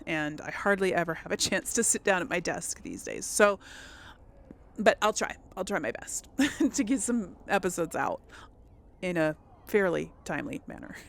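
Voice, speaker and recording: A woman giving casual, rambling game commentary to viewers, thinking aloud as she plays. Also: on the low side. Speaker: gaming host.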